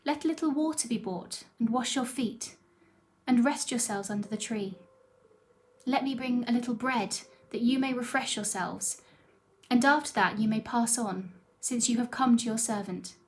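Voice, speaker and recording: A woman's voice: on the high side, normal speed. A woman reading a Bible passage aloud as a scripture reader, in a scripted narrative style.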